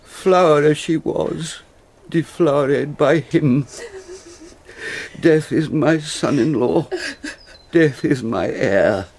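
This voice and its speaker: A man's voice: fairly high pitch, darkish tone. A British man playing a grief-stricken ageing nobleman and father in a classical Shakespearean radio drama, lamenting in heavy declamation. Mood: grieving. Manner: slow, heavily declaimed lamenting.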